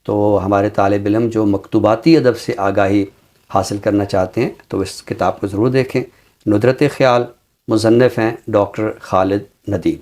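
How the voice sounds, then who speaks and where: A man's voice: lowish voice, steady tone, moderate pace, darkish tone. A man with a Pakistani accent speaks as a literary scholar on a talk show, explaining books in formal, learned language.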